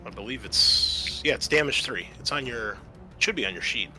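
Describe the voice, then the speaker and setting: Bright-voiced male game master. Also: medium pitch, expressive, normal speed, faint music behind. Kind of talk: running a tabletop roleplaying game, improvised rulings.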